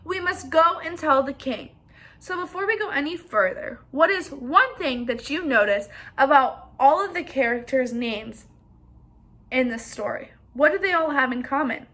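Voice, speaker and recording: A woman with a high, bright voice reads a children's story aloud. Her delivery is expressive and interactive, turning now and then to the listeners with questions. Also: moderate pace.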